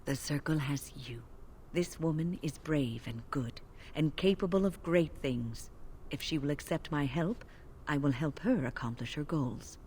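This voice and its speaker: An actress playing a calm, kindly older female healer mage with an earnest, even manner. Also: deep voice, unhurried, hardly any pauses, slight background noise.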